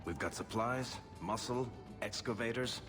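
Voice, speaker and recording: An actor playing a roguish dwarf adventurer in a video game, chatty, wry and sardonic. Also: male voice, medium pitch, a little slow, over music, natural voice. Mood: amused, wry. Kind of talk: video game character acting.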